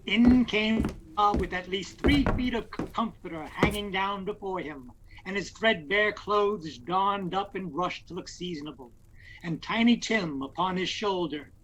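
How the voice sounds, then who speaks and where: A man's voice: high voice, a little slow, noisy background. A man narrating a Victorian Christmas story in an audio play, in a scripted dramatic style. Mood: descriptive.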